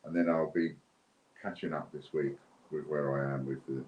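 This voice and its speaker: A man's voice: extremely low pitch, fairly even intonation, moderate pace, muffled recording. A middle-aged British man hosting an online giveaway stream, chatting casually to viewers in a rambling, unscripted way. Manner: rambling, unscripted, thinking aloud. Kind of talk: casual livestream chat to viewers. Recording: roomy echo with faint background noise.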